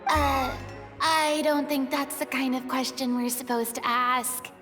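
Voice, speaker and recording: A woman's voice: high voice, fairly even intonation, unhurried, fairly bright tone, soft background music. A woman voicing a small childlike fairy companion in a video game, chatty, eager and easily exasperated. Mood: puzzled, exasperated.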